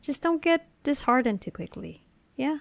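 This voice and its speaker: A woman's voice: high voice, expressive, normal speed, vocal fry, no room sound, clean studio sound. A woman playing a laid-back, westernized aunt, speaking casually and encouragingly with some exaggerated words. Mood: reassuring. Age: adult. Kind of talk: casual animated-comic dialogue.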